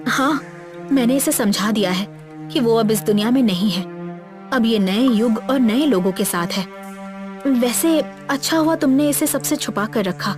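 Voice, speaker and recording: A woman's voice: fairly high pitch, close to an everyday voice. A woman voicing a knowledgeable young female language scholar in an audio story. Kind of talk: audio story character dialogue. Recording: music bed behind the voice.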